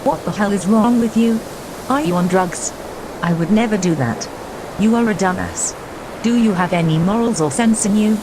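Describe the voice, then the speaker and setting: Steady storm noise runs behind a woman acting as a prim female weather reporter. Her voice sits at a middling pitch, in amateur radio drama style, with a short temper. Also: moderate pace, natural voice. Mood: outraged.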